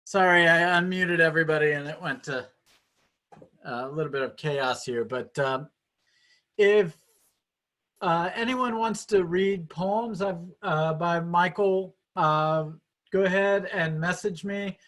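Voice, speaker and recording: A man informally and haltingly hosting an event on a video call. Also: a little high, a bit bright. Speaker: host.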